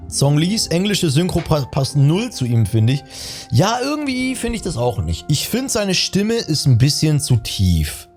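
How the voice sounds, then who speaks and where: Very animated German man commenting on gameplay. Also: on the high side, normal speed. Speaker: gaming streamer. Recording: faint game music behind.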